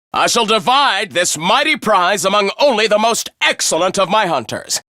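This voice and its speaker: A man's voice: high-pitched, bright, forceful tone, dry recording, clean studio sound, exaggerated voice. A man voicing a smug, theatrical young male student in a video game, mock-polite and taunting. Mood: mock-gracious. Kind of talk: English video game voice acting.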